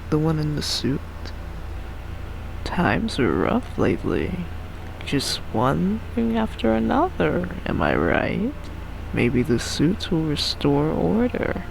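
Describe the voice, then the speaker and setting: A man's voice: a little high, vocal fry, noisy background, full character voice. A man voicing a smug, ominous prophet of doom from a game, with probing, menacing questions. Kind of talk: amateur game character voicing. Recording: music under the voice.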